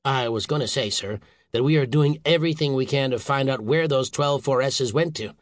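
Male narrator as mild male scientist, contrite, deferential, measured, audiobook character, dry studio sound. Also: mid-range voice, no room sound, close to an everyday voice. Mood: earnest, placating. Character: mild, contrite, small male scientist reporting to his boss.

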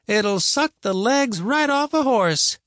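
A man acts out a cantankerous old grandmother on the frontier in a storybook. The voice is pitched well up and very animated, grumbling and scolding in plain folksy country speech, recorded dry and close. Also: takes it slowly, no room sound, full character voice. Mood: disgusted, stubborn. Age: older. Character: cranky, stubborn old frontier grandmother with a cane.

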